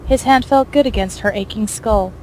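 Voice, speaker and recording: A woman's voice: fairly high pitch, moderate pace, a little mellow, poor audio. Woman narrating fiction, faint background noise.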